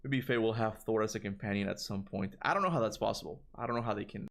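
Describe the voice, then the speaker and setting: A man with a Cuban accent chatting casually on a livestream, rambling and animated, answering chat and swearing now and then. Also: lowish voice, fast, gravelly. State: tired voice from long talking. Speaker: gaming streamer answering chat.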